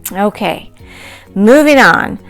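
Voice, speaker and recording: A middle-aged woman teaching a recorded lecture, explaining concepts in a clear, measured way. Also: mid-range voice, lively intonation, a bit bright, faint music behind. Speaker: instructor.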